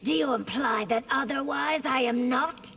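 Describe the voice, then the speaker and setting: A woman's voice: sits high, a little slow, creaky, fairly bright tone, slightly rough, in a large hall, faint music behind, full character voice. An actress playing a haughty, dismissive royal warrior woman in video game dialogue. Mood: haughty, cool. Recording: faint background ambience noise.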